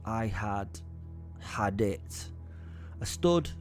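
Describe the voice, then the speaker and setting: A man's voice: fairly low pitch, animated, extremely slow, soft background music. An actor playing a violent, short-tempered male werewolf, narrating with theatrical, gleeful menace in an audio drama. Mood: angry, exultant.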